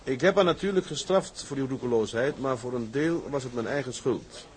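A man acts out a proud, shrewd sea captain in a period drama. He speaks in a mid-pitched voice, firm and businesslike. He sounds like someone determined to get his own ship and used to pressing his case. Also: a little fast, darkish tone, a little hiss or hum, close to an everyday voice. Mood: reading aloud. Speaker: actor playing a sea captain. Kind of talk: acted period drama dialogue.

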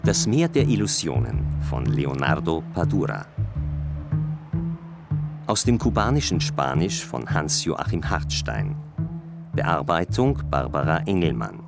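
A man's voice: a bass voice, very animated, unhurried, creaky. A man giving a formal, scripted announcement of drama credits. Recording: loud guitar music behind.

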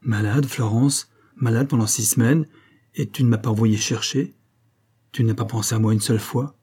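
A man's voice: mid-range voice, fairly even intonation, moderate pace, mellow, slightly rough, lightly acted. A man voicing a proud young actress wife in a storybook reading, impatient, mocking and bitter. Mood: alarmed concern. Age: young adult. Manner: railing, vehement, impatient.

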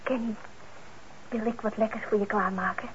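A woman's voice: medium pitch, steady tone, normal speed, fairly bright tone, close to an everyday voice. An actress playing a caring, teasing teenage sister in a radio play, chatty and girlish in her delivery. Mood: caring, coaxing. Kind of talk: naturalistic radio play acting in Dutch. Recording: muffled old radio drama, faint noise.

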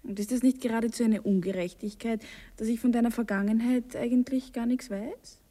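An actress playing a coquettish, curious young Austrian wife who coaxes and teases in a radio play. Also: female voice, fairly high pitch, steady tone, a little fast, mellow, natural voice. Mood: coaxing, wheedling. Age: young adult. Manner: coy, wheedling, breathy asides. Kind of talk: 1960s German-language radio play acting. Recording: reverberant 1960s radio drama studio recording.

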